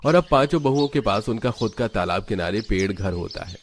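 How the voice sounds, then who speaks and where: Guy narrating a cartoon village tale, lowish voice, reading from script, slight noise in the back. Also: lively intonation, brisk. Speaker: story narrator.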